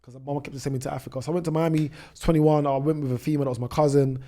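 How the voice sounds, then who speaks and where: British man with a mellow voice. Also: a little high, fairly even intonation, very fast. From United Kingdom. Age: 30s. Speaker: travel vlogger, podcast guest. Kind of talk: conversational interview storytelling.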